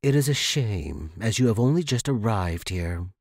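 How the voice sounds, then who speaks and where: A man's voice: lowish voice, animated, normal speed, darkish tone, dry recording, clean studio sound. A man voicing a cold, curt and ominous landlady in a horror story. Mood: menacing. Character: cold, menacing landlady of a sinister boarding house. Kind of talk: dramatized horror audiobook reading.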